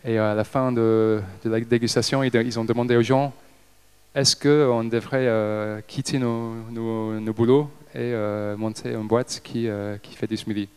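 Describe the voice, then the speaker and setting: Thirties English man, native English speaker, halting stage talk into a handheld mic; smooth tone, mid pitch. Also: little pitch movement, darkish tone. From Liverpool, England. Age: 30s. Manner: halting, frequent hesitations and restarts. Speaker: company director giving a talk. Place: stage in an event hall with a seated audience. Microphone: handheld microphone.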